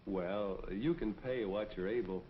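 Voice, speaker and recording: An actor playing a kindly, earnest neighborhood policeman in a 1940s American public-service film, speaking in a folksy, gently persuasive way. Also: fairly low pitch, measured pace, slight background noise, natural voice. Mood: kindly persuasion. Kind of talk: 1940s propaganda film acting and narration. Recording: dull vintage film soundtrack, music behind. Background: music.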